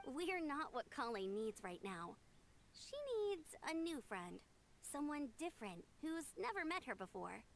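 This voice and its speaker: A woman's voice: high voice, big pitch swings, a little slow, vocal fry, a little hiss or hum, heavily acted. A woman voicing a caring, sensible young woman with a reassuring big-sisterly manner in a video game. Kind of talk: animated video game voice acting.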